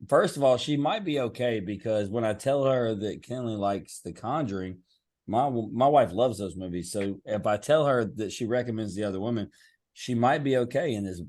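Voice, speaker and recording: A man's voice: mid-range voice, moderate pace, darkish tone. A middle-aged man co-hosting a podcast, joking easily with his guest and often restarting his sentences. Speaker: podcast co-host. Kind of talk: casual interview chat.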